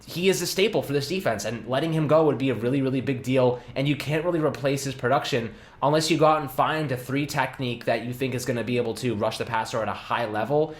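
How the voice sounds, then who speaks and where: Excitable young guy in an echoey room. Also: mid-range voice, fast talker, few pauses. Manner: excitable, laughs often, many fillers and restarts. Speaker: podcast host. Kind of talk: casual sports podcast chat.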